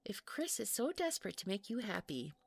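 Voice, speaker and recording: A woman playing a jealous, obsessive wife in an intimate audio roleplay, sugary and taunting, with a breathy voice that often nears a whisper. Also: mid-range voice, sing-song, noisy background. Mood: unhinged glee. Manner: slow, sugary, taunting, breaking into giggles.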